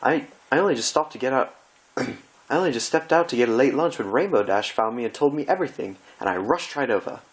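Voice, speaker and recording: Here is a refined lady pony from a cartoon fan story, acted out by a male reader. The voice is pitched well up, with gushing, theatrical delivery. Every phrase is prim, fussy and full of drama. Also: expressive, fairly quick, natural voice. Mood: theatrical remorse.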